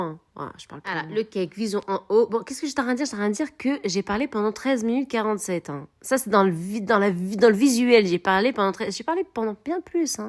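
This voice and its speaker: Woman, home cooking vlog, expressive, repetitive emphasis. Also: medium pitch. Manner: expressive, repeats words for emphasis, coaxing viewers. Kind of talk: chatty cooking tutorial.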